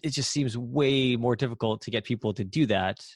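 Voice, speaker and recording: A man hosting an interview, raising workplace observations in a thoughtful, measured way. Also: medium pitch, no echo, studio quality. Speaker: interview host working in healthcare. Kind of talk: conversational interview questions.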